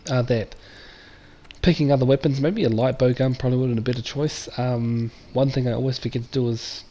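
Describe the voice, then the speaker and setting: A man giving casual let's-play commentary, croaky after a flu, rambling with many ums. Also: mid-range voice, steady tone, brisk, warm tone. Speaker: gamer.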